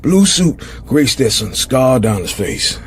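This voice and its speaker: Radio drama: defensive American gangster underling, jittery, very animated intonation. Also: male voice, medium pitch, takes it slowly, slight background noise. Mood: urgent. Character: jittery, defensive American gangland lookout on the phone.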